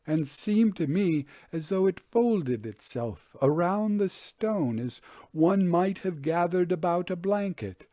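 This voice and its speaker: A man voicing an earnest, unsettled Victorian gentleman giving a formal, solemn account in a storybook narration. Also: sits high, lively intonation, slow, no echo, voice pushed up. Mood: solemn, uneasy.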